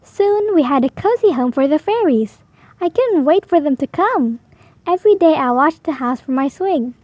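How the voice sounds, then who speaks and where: High-pitched woman reading a children's storybook. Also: animated, normal speed, darkish tone, no echo. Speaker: storybook reader. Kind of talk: expressive children's bedtime read-aloud.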